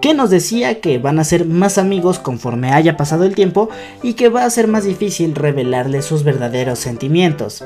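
A man's voice: fairly high pitch, a little mellow. A young adult man giving fan commentary as a YouTuber, talking directly to his viewers. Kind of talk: scripted-feeling fan commentary to camera. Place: small bedroom, indoors. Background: background music.

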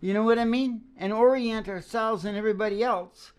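A man's voice: high-pitched, a little fast, clean tone. An older man hosting a music show with jokey, folksy, casual banter, speaking close to a microphone. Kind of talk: casual rambling stage banter. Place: small wood-panelled room, indoors. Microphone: close stage microphone on a stand. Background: acoustic guitar.

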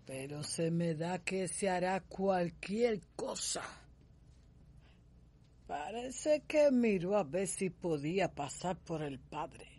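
Anxious, slow-talking Cuban servant woman. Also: fairly low pitch, expressive, a little mellow, breathy edge, heavily acted. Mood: foreboding. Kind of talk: radio soap opera acting.

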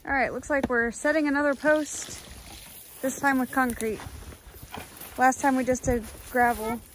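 A woman's voice: sits high, fairly even intonation, a little slow, poor audio. A young woman narrating a casual farm vlog, chatty and explaining the work as she films outdoors on a snowy farm. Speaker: farm vlogger. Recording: outdoor recording with clear background noise.

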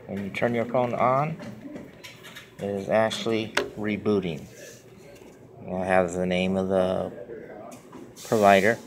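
Guy with a lowish voice doing a real slow how-to, short repeated phrases, noisy handheld recording. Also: fairly even intonation. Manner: plain, halting short phrases, repetitive. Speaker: tutorial presenter. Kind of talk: simple step-by-step phone tutorial.

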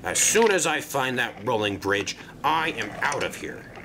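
A man's voice: medium pitch, big pitch swings, measured pace, noisy background. A man voicing a grumpy, blunt steam engine who grumbles and grunts in a children's railway story. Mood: grumpy, sullen. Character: grumpy, gruff saddle tank engine, disinterested and blunt.

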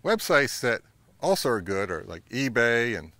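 A middle-aged man giving a measured, instructional talk to camera as a vlog host, recorded outdoors. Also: mid-range voice, sing-song, sharp tone, dry recording. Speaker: vintage audio channel host. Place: outdoors by a pond and on a wooded trail.

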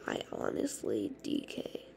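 A woman with a raspy, hoarse voice giving rambling, casual commentary while playing a game. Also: measured pace, dark tone, whispered. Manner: rambling, chatty, full of fillers. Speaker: gaming channel host.

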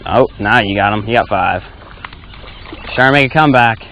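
A young man in his twenties, casually teasing and keeping score out loud while fishing from a small boat on a lake. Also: lowish voice, normal speed, a little hiss or hum, poor audio. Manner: teasing, keeps score aloud. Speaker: fishing vlogger. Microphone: action camera on a chest harness.

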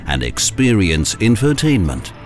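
A man's voice: on the low side, sing-song, takes it slowly. A man giving scripted promotional narration as a voice-over. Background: music.

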